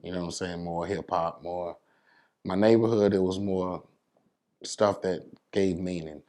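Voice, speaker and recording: A man's voice: low voice, steady tone, a little slow, darkish tone. An American man speaking casually as an interview guest, colloquial and full of conversational check-in phrases. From New York City, USA. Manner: colloquial, peppered with "you know what I'm saying".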